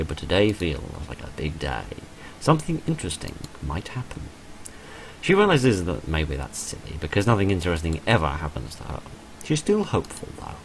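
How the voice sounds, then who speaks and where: A man's voice: deep voice, gravelly, slight background noise, rough recording. A man hosting a visual novel playthrough, reading the story text aloud and adding his own casual asides.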